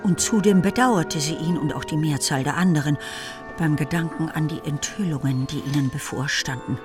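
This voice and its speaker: Expressive female narrator over music. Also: sits low, normal speed. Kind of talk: literary scripted narration in a radio play.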